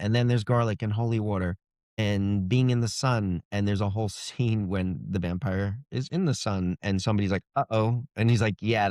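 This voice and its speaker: A man's voice: on the low side, darkish tone, no echo, studio quality. A man being interviewed as an author, explaining things in an informal, loosely phrased, conversational way.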